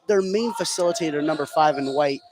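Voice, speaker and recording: A man giving analytical color commentary on a live high school basketball broadcast. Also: a little fast. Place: indoor high school gym. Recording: clear gym noise behind.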